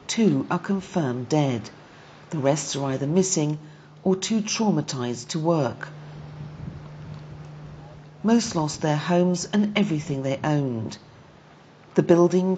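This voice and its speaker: A woman's voice: sits low, warm tone, slight background noise. A woman reading scripted documentary narration in a news report style. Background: background music.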